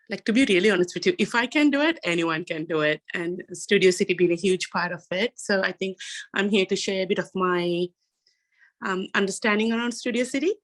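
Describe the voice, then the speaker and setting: A woman in her thirties, from Sri Lanka, speaks over a video call with a mid-range voice. She talks candidly and pokes gentle fun at herself. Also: medium pitch. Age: 30s. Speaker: panelist. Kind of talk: casual self-introduction.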